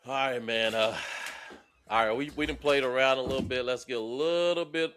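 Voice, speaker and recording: A man's voice: mid-range voice, normal speed, fairly bright tone, a little hiss or hum. A man turning fifty, co-hosting a casual, blunt podcast chat. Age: 40s. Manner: laid-back, blunt, profane. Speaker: podcast co-host.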